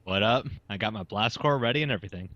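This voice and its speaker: A man tells a story as a guest on a casual podcast, easygoing in manner. His voice sits low. The mic sounds dull and very dry. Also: fast talker, pushed and bright, dry recording.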